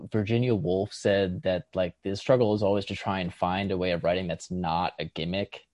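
A man with a slightly low voice, recorded dry, talks about writing as a casual podcast co-host. He is tentative and halting, circling an idea before he lands on it. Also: steady tone, darkish tone, no echo. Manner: halting, tentative, circling toward his point. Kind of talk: casual literary discussion.

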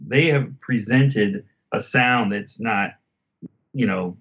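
Low-voiced man talking music, slightly muffled. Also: fairly bright tone. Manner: halting, circling back to rephrase. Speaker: podcast guest. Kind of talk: casual album discussion.

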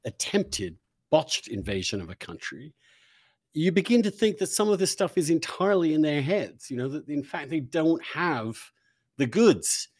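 A man's voice: a little high, very animated, normal speed, a little mellow, breathy edge, no room sound, studio quality. A man interviewing a guest, posing long conversational questions mixed with opinionated, emphatic commentary.

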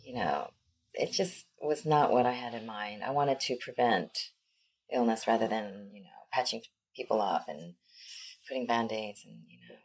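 A middle-aged woman with a strikingly deep voice talks through her life in a loose, meandering interview. She circles her thoughts with plenty of "um" and "you know" and laughs easily as she goes. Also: normal speed. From born in Korea, raised in the United States. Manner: rambling and reflective, many fillers, laughs easily. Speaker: interview guest, wellness practitioner. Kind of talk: casual conversational interview.

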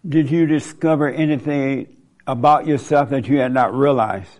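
A man hosting a live audience question session, teasing and quick in back-and-forth with attendees, speaking into a handheld mic in a hall. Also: medium pitch, a little mellow. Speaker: host leading a live gathering. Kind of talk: casual audience Q&A.

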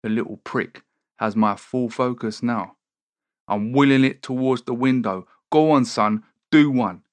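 A man's voice: mid-range voice, a little slow, dry recording. A man hosting a podcast, chatting casually and self-deprecatingly before performing spoken-word poetry. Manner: rambling, self-deprecating, conversational. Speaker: poet and podcast host.

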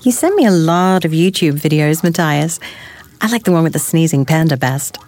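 An actress playing a teasing, affectionate wife in a naturalistic audio drama, wry and casual. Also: female voice, low-pitched, animated, moderate pace, a little mellow. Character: teasing, affectionate pregnant wife, unimpressed by science.

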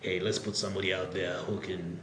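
A man's voice: low voice, level tone, fairly quick, a bit bright. A middle-aged man with a Kenyan accent telling his story casually as a podcast guest. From Nairobi, Kenya. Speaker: podcast guest, tech product executive. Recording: echoey room with faint background noise.

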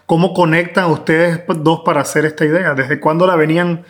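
A man's voice: fairly high pitch, steady tone, a little fast. A man with a Venezuelan accent, speaking as a podcast host in a conversational interview.

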